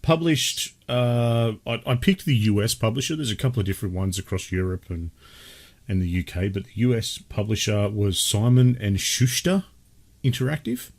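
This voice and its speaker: An Australian man hosting a gaming podcast in a laid-back chat with his brother, still a little croaky from a cold. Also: on the low side, animated, moderate pace. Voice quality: husky. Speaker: podcast host. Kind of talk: casual chat.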